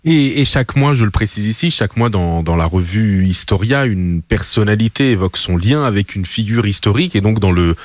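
Male radio host asking questions, lowish voice, polite, a bit halting, dry studio sound. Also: lively intonation, no room sound. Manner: polite, slightly halting introductions. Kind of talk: radio interview questions and plugs.

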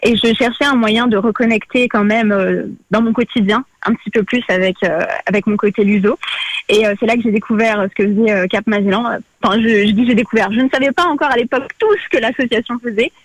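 A woman in her twenties whose first language is Portuguese, answering interview questions on radio. She talks with many hesitations and restarts, in a high voice. The sound is close and dry. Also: quick pace, no echo, poor audio. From Portugal, raised in France. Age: 20s. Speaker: association president, guest.

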